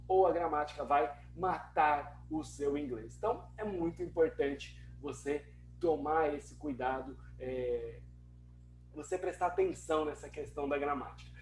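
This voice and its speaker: A Brazilian-accented teacher pitches an online English course in an emphatic, persuasive way, asking rhetorical questions and driving points home through repetition. The voice sits at a middle pitch and echoes around the room. A faint hum runs underneath. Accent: Brazilian Portuguese. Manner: emphatic, rhetorical questions, repeats phrases for effect. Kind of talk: promotional online lesson. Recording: roomy echo with faint hum.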